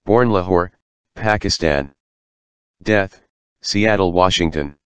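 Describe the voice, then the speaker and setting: A menacing artificial intelligence from an audiobook, voiced by a man. The voice is very deep and crisply clear, recorded dry and close. It speaks in clipped, formal phrases with no emotion. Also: slow, dry recording. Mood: cold, insistent. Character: cold, menacing artificial intelligence delivering dire warnings. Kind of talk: audiobook character voice.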